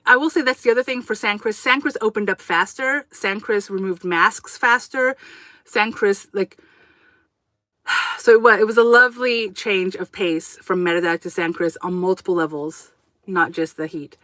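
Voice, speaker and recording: A woman's voice: mid-range voice, sharp tone, dry recording. A woman whose first language was Japanese, hosting a casual livestream from inside her RV, talking in a rambling, self-correcting way and reading out viewer comments. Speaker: livestream host. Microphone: camera on a tripod.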